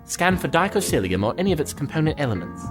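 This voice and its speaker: An earnest lieutenant, lively pitch. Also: male voice, over music. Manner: earnest, polite, measured. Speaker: starship lieutenant. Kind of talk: fan audio drama acting.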